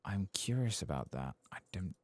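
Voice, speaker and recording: A man giving soft-spoken ASMR game commentary in a gentle, breathy murmur that often drops toward a whisper, close to the microphone. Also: sits low, sing-song, normal speed, no room sound. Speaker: game streamer.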